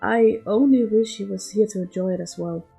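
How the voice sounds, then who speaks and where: A woman's voice: medium pitch, moderate pace, creaky, mellow, slightly rough, soft background music, rough recording. A woman voicing a gracious, shrewd middle-aged countess, a proud mother, in a genteel manner for an audiobook story. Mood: doting.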